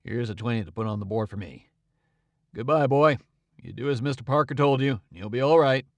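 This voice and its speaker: A man voicing a brisk second boatman. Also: medium pitch, vocal fry, dry recording, pitched below the performer's own voice, lightly acted.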